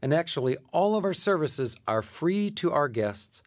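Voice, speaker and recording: A man's voice: a little high, very animated, moderate pace, dry recording, studio quality. A man speaking as a charity president, giving scripted answers as a radio guest.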